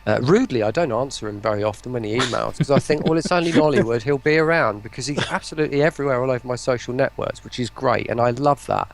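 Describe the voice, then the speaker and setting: Gravelly-voiced man in rambling casual chat. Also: medium pitch, fast talker, few pauses, slight background noise. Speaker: voice pack creator and interview guest.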